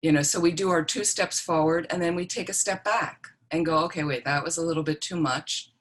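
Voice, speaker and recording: A woman with a fairly low voice teaches an online dharma class. She talks informally and reassuringly, checking in with her listeners as she explains. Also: steady tone, a little fast. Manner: conversational, reassuring, checks in with "okay?" and "right?". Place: online group video call.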